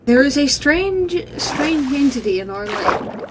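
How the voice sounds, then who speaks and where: A woman's voice: sits high, sing-song, a little slow. A woman chatting casually while gaming. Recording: clearly noisy game stream audio.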